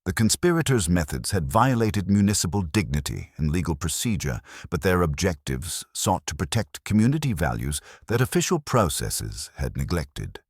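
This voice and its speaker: Very low-pitched man narrating a detective mystery. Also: big pitch swings, normal speed, hardly any pauses, slightly rough, no room sound, studio quality.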